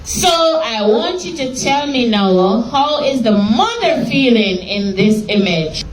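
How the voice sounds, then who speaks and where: A woman's voice: fairly high pitch, big pitch swings. A woman teacher reading a story aloud to a class and patiently quizzing her pupils in a classroom. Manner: slow, patient questioning of pupils. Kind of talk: classroom lesson and read-aloud. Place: classroom full of children. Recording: echoey room, mic far off, class chatter.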